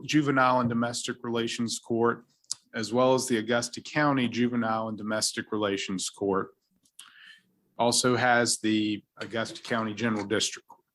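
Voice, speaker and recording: Man, Virginian accent, city attorney, formal council presentation, measured legal phrasing, mid pitch. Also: fairly bright tone. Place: council chamber.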